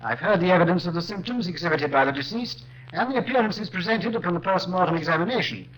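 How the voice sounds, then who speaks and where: An actor playing a confident Victorian chemistry lecturer and doctor testifying in a radio drama, formal and emphatic. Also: male voice, high voice, lively intonation, fast talker, a bit bright. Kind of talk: 1950s radio crime drama. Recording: noisy old radio recording.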